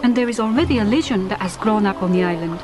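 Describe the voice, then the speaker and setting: An actress playing a proud, frank young Japanese island diver in a radio drama, with direct, formal phrasing. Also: female voice, medium pitch, fast, lightly acted. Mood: solemn.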